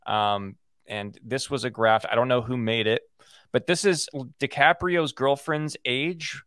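A man's voice: medium pitch, steady tone, a little slow, a bit bright, no room sound, studio quality. A man with a young-sounding voice co-hosting a casual podcast, rambling with lots of "like" fillers and the odd joke. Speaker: podcast co-host.

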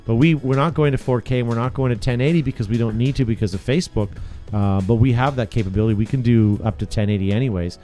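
A man's voice: mid-range voice, fast talker, hardly any pauses, dark tone, faint music behind. A man hosting a podcast in casual chat, talking loosely with easy laughs and trailing sentences. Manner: chatty, laughs easily, trails off. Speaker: podcast host. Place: small podcast studio.

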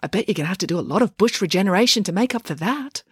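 A woman's voice: medium pitch, animated, rapid-fire, husky, dry recording, clean studio sound. A woman voicing a posh, unflappable, wry girl in a story, speaking precisely and wordily.

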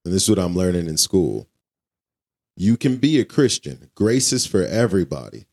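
A man with a low-pitched voice co-hosts a podcast in an earnest, conversational preaching style. He speaks colloquially and often puts rhetorical questions to the listener. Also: big pitch swings, normal speed, creaky, darkish tone. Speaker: podcast co-host.

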